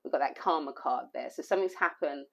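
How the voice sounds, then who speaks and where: A hoarse woman giving an intuitive tarot reading, rambling and repeating phrases with plenty of fillers. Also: sits low, fast talker. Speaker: tarot reader.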